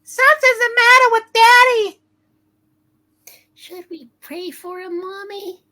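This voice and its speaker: A woman's voice: very high-pitched, takes it slowly, sharp tone, heavily acted. A woman voicing a worried, pleading small child in a comic radio play reading.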